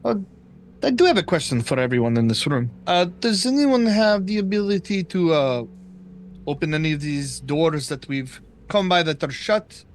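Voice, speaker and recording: A man speaking in character at a tabletop game, hesitant and filling pauses with uhs. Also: on the high side, lively intonation, slight background noise. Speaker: player. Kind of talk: in-character tabletop roleplay chat.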